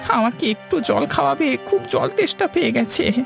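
A woman's voice: high-pitched, animated, moderate pace, vocal fry, music in the background, exaggerated voice. A woman voicing a frightened, whimpering village husband in a horror-comedy cartoon, pleading and panicky. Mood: plaintive.

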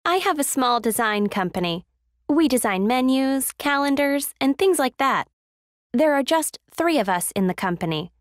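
A woman's voice: sits high, big pitch swings, moderate pace, no echo, clean studio sound. A woman playing a friendly small-business owner in scripted small talk for a language listening exercise. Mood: friendly, upbeat. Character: friendly woman running a small design company.

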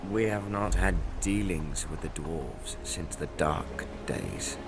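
Very deep, haughty elf warden. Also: male voice, sing-song, creaky, full character voice. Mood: cold disdain. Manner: slow, measured, aloof. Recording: film clip with loud music.

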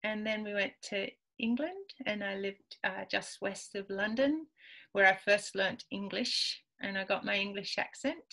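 Bright-voiced woman chatting on a video call. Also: mid-range voice, unhurried. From Peru. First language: Spanish. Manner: chatty, many ums, laughs easily. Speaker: author guest. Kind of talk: casual conversational interview. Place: home room with bookshelves. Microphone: video call webcam.

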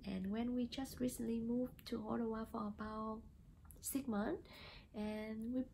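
A woman's voice: mid-range voice, vocal fry, warm tone, slight background noise, poor audio. A young woman from Vietnam with a Vietnamese accent, talking casually to camera as a new real estate agent, hesitant with many fillers. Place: small plain indoor room.

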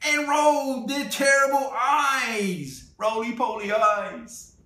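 A man reads a children's picture book aloud at an unhurried pace, his voice sitting fairly high and full of play. He stops for cheerful asides and small laughs between pages. The sound is roomy and a bit distant, picked up by a camera a few feet away. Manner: slow and expressive, with playful asides and laughs. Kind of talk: children's storytime read-aloud. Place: indoor room, seated in an armchair. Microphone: camera on a tripod a few feet away.

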